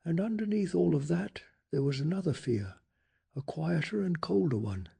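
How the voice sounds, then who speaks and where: A man softly narrating a bedtime story in a calm, hushed ASMR style, telling it with deliberate drama. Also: on the high side. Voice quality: soft, breathy.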